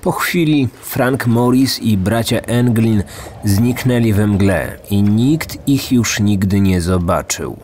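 A man's voice: fairly low pitch, lively intonation, slow, a little mellow, a little hiss or hum. A man narrating a scripted true crime podcast as its host.

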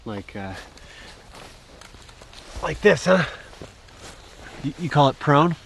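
A man playing an unsure, self-doubting young man in an audio drama, stammering and halting as he talks. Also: on the high side, sing-song, gravelly, a bit bright, a little hiss or hum, heavily acted. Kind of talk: post-apocalyptic audio drama acting.

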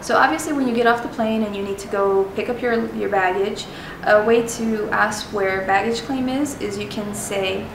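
A woman's voice: medium pitch, moderate pace, recorded from a distance, background noise. Chatty young woman in an echoey room. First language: Spanish. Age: young adult. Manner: chatty, self-deprecating, many ums and restarts. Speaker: travel vlogger teaching phrases. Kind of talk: casual explanatory lesson to camera.

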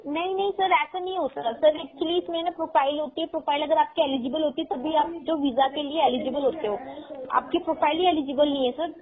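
A woman's voice: high voice, steady tone, fairly quick. A young woman on a thin phone line, working as a call centre agent and answering politely but firmly. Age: young adult. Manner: polite, firm. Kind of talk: customer service phone call.

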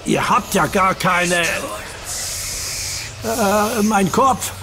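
Strained young male hero, high-pitched and slow, gasping with music clearly under him. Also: exaggerated voice. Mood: pained. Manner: gasping, irritable. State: pained, strained. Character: young male superhero in agony, defiant. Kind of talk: German radio play acting.

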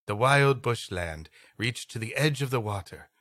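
Storybook role: gracious lady reminiscing in formal phrases, read by a man, lively pitch, bright tone. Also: normal speed, dry recording, studio quality, close to an everyday voice. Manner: formal, old-fashioned storytelling. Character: courteous Latin American lady recalling her frontier past.

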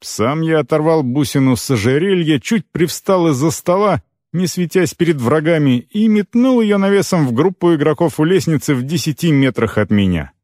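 A man narrating scripted first-person fiction as an audiobook reader, expressive, with deliberate phrase breaks. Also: fairly high pitch, no echo, clean studio sound.

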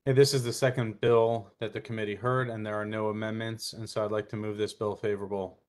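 A man chairing a committee moves each nomination on a video call in quick, set phrases. His delivery is flat and brisk. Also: on the low side. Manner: flat, quick, formulaic motions. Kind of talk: formal motions.

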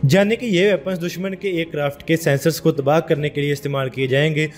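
A man from India with a Punjabi accent, narrating a scripted defence news explainer as a steady voice-over. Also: a little high, fast, faint music behind.

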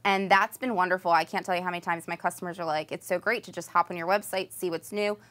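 A woman's voice: fairly low pitch, steady tone, fast talker, fairly bright tone. An American woman in her thirties, a shop owner explaining her business in a conversational, plain-spoken interview style. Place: small home decor shop, indoors. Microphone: lapel microphone.

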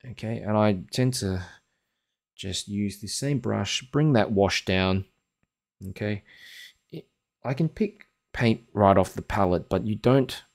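A young man, talking through a watercolor painting step by step in a relaxed, chatty way while he works, his voice a little croaky, recorded indoors at his desk on a webcam mic. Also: lowish voice. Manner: relaxed, chatty, thinks aloud while working. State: slightly croaky voice. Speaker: watercolor teacher. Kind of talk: live painting demonstration. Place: home studio, indoors.

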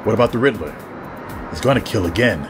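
A man's voice: lowish voice, lively intonation, fast talker, music in the background, lightly acted. A man acting an earnest, dogged police detective in an audio drama, hard-boiled and pressing. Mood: wary.

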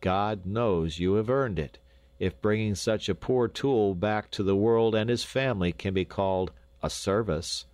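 A man's voice: lowish voice, unhurried, darkish tone, no room sound, natural voice. Storybook peasant father, read by a man: hearty, earnest, rustic old-fashioned phrasing, dry sound. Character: plain-spoken, grateful peasant father recovering from illness.

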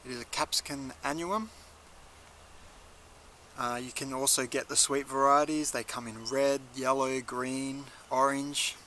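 Bright-voiced young man reviewing casually. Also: mid-range voice, steady tone, unhurried, slight background noise. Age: young adult. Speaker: chilli reviewer, video host. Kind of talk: casual product review to camera. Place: outdoors in a leafy garden.

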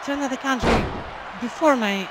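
A woman's voice: fairly high pitch, a little fast, very noisy. A woman giving rambling, casual commentary over a wrestling video game, with many fillers. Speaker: gameplay commentator.

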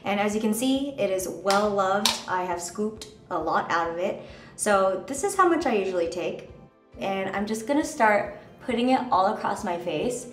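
Brief: woman in her twenties, bubbly chatty vlogger, mid pitch, roomy bathroom echo, soft music behind. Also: lively intonation, normal speed. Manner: bubbly, chatty, lots of "like" and "just". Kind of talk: casual skincare tutorial talk. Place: hotel bathroom at the mirror. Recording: echoey bathroom, faint music.

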